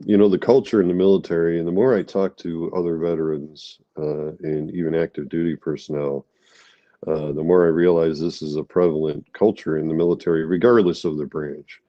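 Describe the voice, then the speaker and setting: A man's voice: low voice, dry recording, highs cut off. A middle-aged man, an army veteran, congested from a cold, speaking candidly in an interview with many fillers and restarts.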